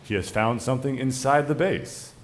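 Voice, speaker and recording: A man's voice: medium pitch, moderate pace. A man reading stage directions as narrator of a scripted comedy table read. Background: music.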